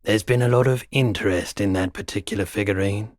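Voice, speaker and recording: An actor playing a weary, mild-mannered pawnshop owner no longer young in a video game, speaking in an unhurried, low-key, dryly polite way. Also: male voice, no room sound, studio quality, natural voice. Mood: measured, matter-of-fact. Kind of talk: video game dialogue acting.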